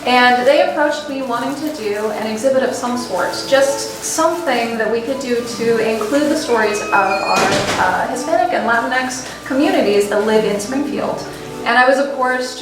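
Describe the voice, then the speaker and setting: A woman's voice: fairly high pitch, moderate pace. A woman museum curator explaining an exhibit in an eager, casual way. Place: large indoor museum gallery. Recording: echoey museum hall.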